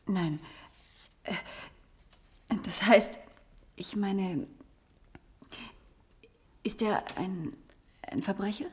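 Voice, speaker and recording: An actress playing a timid, hesitant new attendant in a German radio play, questioning and often hushed. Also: female voice, mid-range voice, very slow, pauses often. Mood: dismayed, hushed. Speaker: newly hired attendant.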